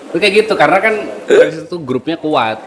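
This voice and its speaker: A man's voice: fairly high pitch, quick pace, a crowd in the background. A man who works as a radio announcer, chatting casually and jokingly, mixing in words. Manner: animated, joking, mixes in Javanese words. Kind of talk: casual podcast chat. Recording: noisy room ambience.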